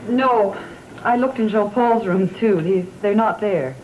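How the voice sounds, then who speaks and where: A woman's voice: mid-range voice, unhurried, a little hiss or hum, natural voice. An actress playing an anxious, confiding woman of the household in a gothic soap opera, sounding concerned. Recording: dull vintage TV soundtrack, loud music.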